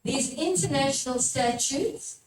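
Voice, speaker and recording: The voice is a woman's, set high, speaking as a workshop presenter who urges and questions her audience. She is picked up from a distance in an echoing room, with some background noise. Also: unhurried. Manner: exhortatory, puts questions to the listeners. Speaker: workshop presenter, religious formator. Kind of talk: live workshop teaching talk to an audience. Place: echoey room at a live workshop.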